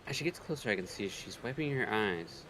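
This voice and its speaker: A man's voice: on the low side, lively intonation, brisk, a bit bright, slight background noise, rough recording. A man co-hosting a livestream, trading casual banter and reading game text aloud. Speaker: co-host of a visual novel readthrough. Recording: music playing behind the voice. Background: game music.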